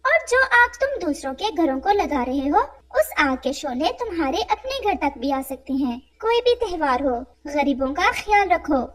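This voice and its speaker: Female narrator, children's storytelling, scripted, extremely high voice, animated intonation. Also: normal speed, few pauses.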